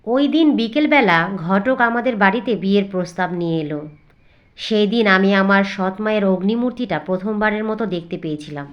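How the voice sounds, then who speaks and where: A woman's voice: mid-range voice, lively intonation, fairly quick, highs cut off, natural voice. A woman playing a wronged, simple young village stepdaughter who tells her tale earnestly and plaintively in a cartoon fairy tale. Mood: sad, reflective. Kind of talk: storybook narration and cartoon dialogue.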